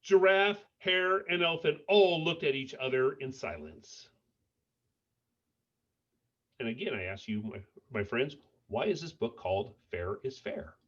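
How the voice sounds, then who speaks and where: A man reading a picture book aloud to children in an expressive way, pausing to put questions to his listeners. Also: medium pitch, dull audio. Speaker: school principal reading to children.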